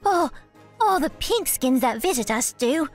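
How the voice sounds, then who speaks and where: A greedy little kobold for an audio drama. The voice is high and unhurried, simple-minded to the core. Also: female voice, animated, faint music behind. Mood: eager.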